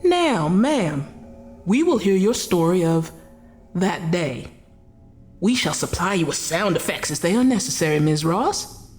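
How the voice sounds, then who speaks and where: An actress playing a female coroner at an inquest who questions a witness in a polite, insinuating, quietly commanding way. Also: lowish voice, sing-song, measured pace, soft background music. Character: cool, needling female coroner, calmly menacing. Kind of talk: 1940s radio acting.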